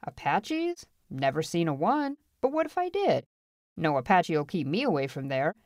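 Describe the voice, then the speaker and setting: A woman's voice: lowish voice, very animated, normal speed, darkish tone, dry recording. A big, bold frontier gold hunter played by a woman narrator in a western audiobook. He talks in a folksy, boastful way and likes to taunt. The sound is dry and close.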